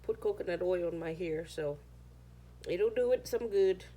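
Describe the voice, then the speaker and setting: A woman's voice: lowish voice, normal speed, mellow, poor audio. A woman whose first language is Chamorro, chatting casually through a home how-to video, sometimes searching for words. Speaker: home cooking vlogger.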